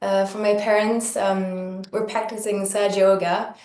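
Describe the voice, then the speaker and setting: A woman's voice: mid-range voice, flat delivery, measured pace, a little mellow. A woman briefly introducing herself on a video call, a little hesitant, speaking from away from the microphone. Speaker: meditation presenter. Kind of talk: self-introduction. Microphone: video call, away from the mic. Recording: echoey room, far from the mic.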